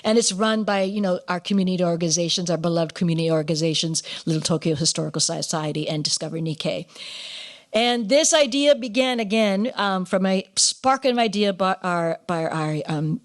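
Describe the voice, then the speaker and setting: A woman hosting a live awards ceremony, speaking effusively and emphatically with halting, dramatic pauses. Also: fairly low pitch. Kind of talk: live event hosting, introducing presenters. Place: live in-person ceremony before an audience.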